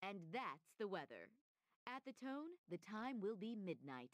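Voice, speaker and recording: A woman's voice: medium pitch, very animated, measured pace, no room sound. A woman playing a polite, formal news and weather announcer in a video game dub. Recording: game music under the voice.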